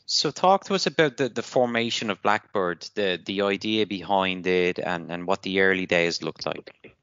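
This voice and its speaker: A man's voice: low voice, moderate pace, a bit bright, smooth, no echo, muffled recording. A man hosting a podcast, introducing and interviewing his guest. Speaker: podcast host.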